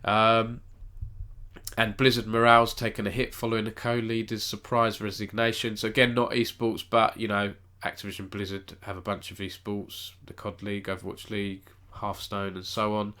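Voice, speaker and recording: Casual male stream host, slightly low, nasal with a cold, chatty and rambling. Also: flat delivery, moderate pace, sharp tone. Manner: rambling, self-correcting, chats with viewers. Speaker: esports news host. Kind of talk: casual live-stream news roundup.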